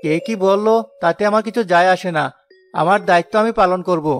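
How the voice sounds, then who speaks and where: A man's voice: high-pitched, brisk, faint music behind. A man voicing a poor, honest porter in a cartoon folk tale, firm and principled in his speech. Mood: resolute. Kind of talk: animated folk-tale dialogue.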